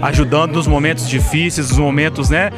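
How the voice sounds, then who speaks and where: A man's voice: on the high side, steady tone, brisk. A man who manages a bank branch, speaking in a corporate, promotional way. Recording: music in the background.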